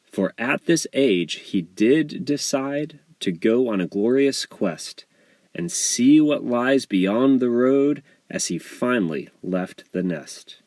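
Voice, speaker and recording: Slow, animated male storybook narrator. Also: medium pitch, no room sound. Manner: expressive, measured storytelling delivery. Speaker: author reading his children's book aloud. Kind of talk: scripted storybook narration in rhyme.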